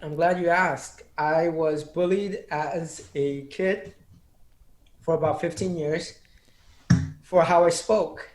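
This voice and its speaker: Earnest motivational man, slightly high voice. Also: steady tone, slow pace, roomy sound. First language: not English. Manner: earnest, motivational, speech-like delivery. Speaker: interview guest, public speaking coach. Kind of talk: casual interview chat.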